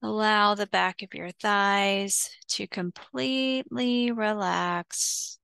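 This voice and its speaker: Female meditation guide, very slow and soothing, gentle fry in a smooth tone, close dry sound. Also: mid-range voice, steady tone, no echo. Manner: soothing, unhurried, with long pauses between short phrases.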